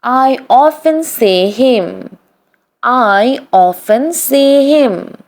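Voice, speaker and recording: A woman reading short practice sentences aloud for learners, in an even, deliberate read-aloud style that says each sentence twice. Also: sits high. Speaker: English practice reader.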